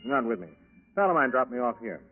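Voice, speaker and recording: An actor playing a polite, cold-blooded American fugitive killer in a 1940s radio drama. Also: male voice, mid-range voice, very animated, fast, gravelly. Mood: smooth, polite. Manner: polite, self-possessed, menacing. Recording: thin phone-like 1940s radio recording, music behind.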